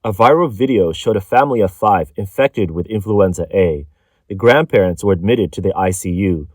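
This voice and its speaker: Low-pitched male news narrator, dry sound. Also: darkish tone, no room sound. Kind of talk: scripted news narration, reading translated accounts.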